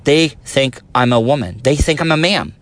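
A man's voice: mid-range voice, animated, moderate pace. A man in his forties with a thin voice, hosting a casual solo podcast, rambling and self-deprecating, recorded on a phone. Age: 40s. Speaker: podcast host.

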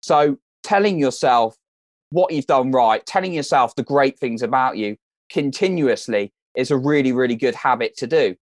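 UK-raised male guest, earnest coaching tone, encouraging, mid pitch, dry close sound. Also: moderate pace, no room sound. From United Kingdom. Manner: earnest, encouraging, repeats words while thinking. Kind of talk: casual interview advice.

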